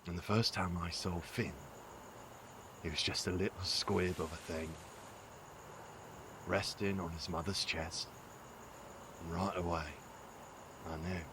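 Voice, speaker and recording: A gruff, rueful father, played by a male actor, talks very low and slowly in an audio drama. He is weary and earnest, with clear night-time ambience behind him. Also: fairly even intonation, background noise. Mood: wistful. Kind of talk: audio drama acting, reflective monologue.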